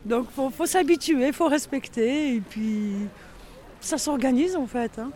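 A man's voice: extremely high pitch, a little slow, mellow. A man interviewed about village life, talking casually and hesitating with many fillers. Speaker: villager interviewed on local radio. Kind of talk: street interview, casual talk.

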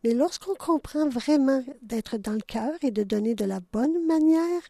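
High, effusive older Canadian French woman. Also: animated, a little slow, mellow, no echo, studio quality. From Ontario, Canada. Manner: effusive, affectionate, laughs often. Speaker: radio show host. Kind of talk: casual radio chat. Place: radio studio.

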